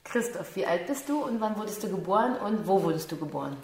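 A woman's voice: medium pitch, expressive, quick pace, a little mellow, echoey room. A woman interviewing a man with polite, coaxing questions.

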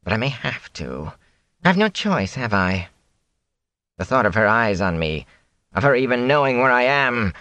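A man voicing a ragged, wary peddler in an audiobook, whining and self-pitying in a hoarse rasp. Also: medium pitch, very animated, normal speed, long pauses, bright, forceful tone, no echo, pitched above the performer's own voice. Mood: whining, bitter. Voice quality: raspy, hoarse. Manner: whining, jittery, aggrieved. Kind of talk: audiobook character voice.

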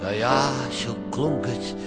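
Man as a slow, wistful father over piano. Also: medium pitch, lightly acted. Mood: rueful. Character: wistful, protective father of a grown-up daughter. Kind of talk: narrative song with piano accompaniment. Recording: loud piano accompaniment under voice. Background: piano music.

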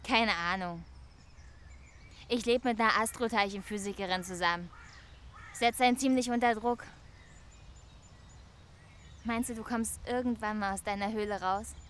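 An actress as a lonely young woman in a German radio play. She muses in a medium-pitched voice, confiding and hesitant, full of shy longing. Faint outdoor ambience sits behind her. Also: fairly even intonation, moderate pace, pauses often, a bit bright, slight background noise, natural voice. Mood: subdued longing. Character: lonely, wistful young woman quietly in love with her neighbour.

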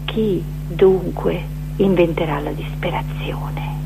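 A woman giving a dramatic recitation of a poetic manifesto, with emphatic, deliberate phrasing. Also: lowish voice, steady tone, creaky, noisy background. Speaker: performer reciting poetry.

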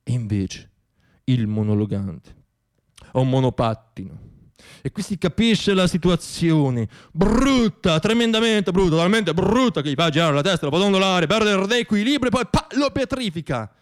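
A man's voice: sits high, lively intonation, moderate pace. A man tells stories as a stage monologist. His delivery is theatrical and comic, with a wry, self-deprecating bent. He likes to repeat words for effect. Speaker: monologue storyteller and stage performer.

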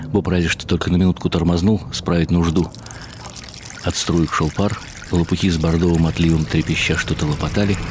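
An actor playing a world-weary writer who narrates a literary radio drama in a wry, ironic, musing way. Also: male voice, deep voice, background noise, close to an everyday voice. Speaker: narrator and lead character.

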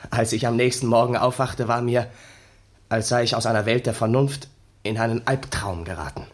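An actor playing a haunted, bitter young ex-soldier, telling his story wearily and dramatically in a gravelly, husky voice for a 1960s German radio play. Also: medium pitch, steady tone, normal speed, lightly acted. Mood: horrified recollection.

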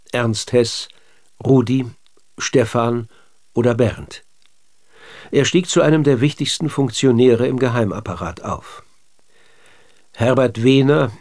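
An actor playing a courteous, probing author who interviews and narrates history in a scripted documentary radio drama, speaking with measured precision. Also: male voice, mid-range voice, a little mellow, lightly acted. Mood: sober, factual.

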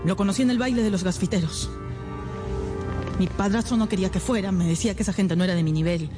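A woman's voice: medium pitch, mellow, close to an everyday voice. An actress playing an anxious, naive and devoted young woman in a radio drama, speaking earnestly with hesitant repetitions. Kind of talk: Spanish-language radio drama acting.

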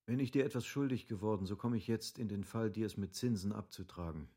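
A man's voice: lowish voice, fast, warm tone, husky. An actor playing an impulsive, obstinate nobleman in a German literary radio drama, with formal, emphatic rhetorical phrasing. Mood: earnest pleading. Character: impulsive, obstinate wealthy nobleman pleading with his friend.

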